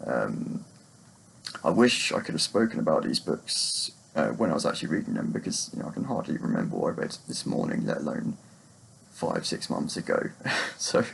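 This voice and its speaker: A man with a gravelly, husky voice, chatting casually about books in a rambling, hesitant, self-deprecating way. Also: extremely low pitch, wildly swooping pitch, moderate pace, a little hiss or hum, poor audio. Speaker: book vlogger.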